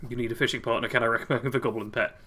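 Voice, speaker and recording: A chatty British man with a bright, forward voice, talking through a game stream. He keeps up a loose, friendly chatter as he answers viewers, chuckling often between comments. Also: medium pitch, steady tone, very fast, slightly rough. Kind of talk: casual live-stream commentary, reading chat aloud.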